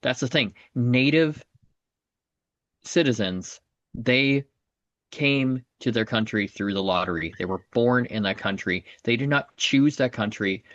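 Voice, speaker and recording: A man's voice: medium pitch, a little slow, fairly bright tone, no echo. An American man talking earnestly in a casual podcast conversation, often repeating and rephrasing his points. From United States. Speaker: podcast guest, comedian. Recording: dull, dry lo-fi audio.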